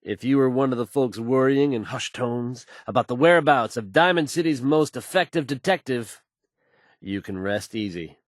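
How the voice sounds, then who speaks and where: A man's voice: mid-range voice, normal speed, no echo, clean studio sound, natural voice. An actor playing a confident, folksy male radio DJ in a video game, delivering news in suave showman's patter. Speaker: radio DJ character.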